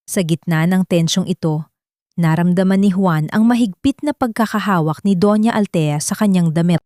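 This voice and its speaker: A woman's voice: mid-range voice, a little mellow, dry recording, studio quality. A woman narrating a dramatic audio love story as scripted storytelling.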